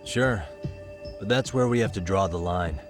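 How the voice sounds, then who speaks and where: An actor playing a calm, serious private eye in a video game. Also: male voice, sits low, normal speed, lightly acted. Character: level-headed male private eye, calm. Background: game music.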